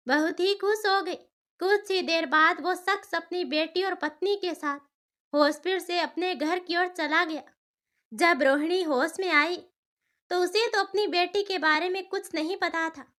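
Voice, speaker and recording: A woman narrates a cartoon story in a very high-pitched voice. Her tone is bright and forward, and she reads as a scripted storyteller. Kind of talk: scripted cartoon story narration in a Hindi moral-tale format.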